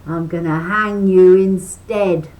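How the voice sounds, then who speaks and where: A woman's voice: a bass voice, lively intonation, fast talker, gravelly, a little mellow, voice pushed down, exaggerated voice, poor audio. Storybook part: a sly male fox, gleeful then snarling, played by an older woman reading aloud. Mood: scheming.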